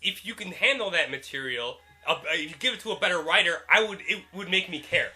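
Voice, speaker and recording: A man's voice: high voice, lively intonation, brisk, pushed and bright, soft background music. A man co-hosting a casual comic book talk, sarcastic and profane, scoffing at his partner. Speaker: co-host.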